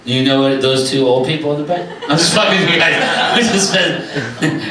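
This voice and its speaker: A man's voice: fairly high pitch, moderate pace, over a noisy crowd. A man hosting an open mic, interviewing a comic in a loose, joking way. He swears often and laughs a lot. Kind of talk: loose, profane comedy interview. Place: small open-mic club stage, indoors. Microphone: handheld mic, filmed by a far camera. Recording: very echoey far-off audio with loud background noise.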